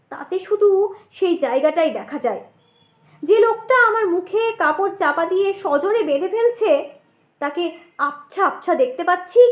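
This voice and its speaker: A woman's voice: very high-pitched, roomy sound. A woman narrating a ghost story in the first person, in a theatrical, suspenseful storytelling manner.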